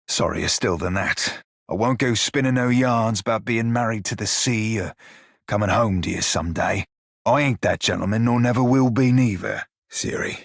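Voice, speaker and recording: Husky rogue for a video game, sardonic sailor drawl, rough edges, real dry close recording. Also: male voice, medium pitch, fairly bright tone, no echo, full character voice. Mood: sheepish, regretful. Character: roguish blue shark-kin pirate, salty, sardonic, foul-mouthed. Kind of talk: video game character dialogue.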